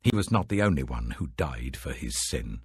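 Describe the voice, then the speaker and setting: A man voicing an indignant Israelite envoy in a scripture reading, delivering forceful, accusatory oratory. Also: very deep voice, animated, moderate pace, slightly rough, no room sound, voice pushed down, full character voice. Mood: warning.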